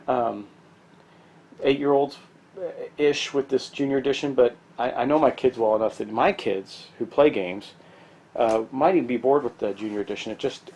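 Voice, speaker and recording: Stuffy, mellow-voiced man. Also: mid-range voice. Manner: rambling, many ums and restarts. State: stuffy from allergies. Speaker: board game reviewer. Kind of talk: casual tabletop game walkthrough.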